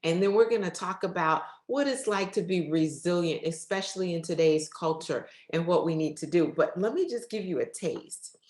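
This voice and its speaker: A woman's voice: on the low side, expressive, normal speed, hardly any pauses, a little mellow. A woman hosting an upbeat faith talk show, speaking effusively and addressing her audience directly. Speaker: interview show host. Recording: roomy, echoey sound.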